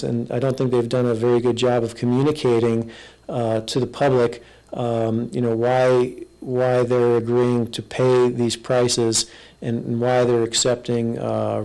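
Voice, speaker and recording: A man with a mid-range, flat voice answers in an interview. His unscripted delivery is hesitant and halting, with little rise or fall. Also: medium pitch, low-quality recording. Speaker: interviewee, real estate appraiser.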